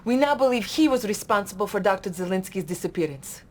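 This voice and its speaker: Fields. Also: female voice, mid-range voice, expressive, quick pace, slight background noise, close to an everyday voice. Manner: urgent, formal briefing. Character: loyal, earnest female Soviet officer. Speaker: Soviet military officer.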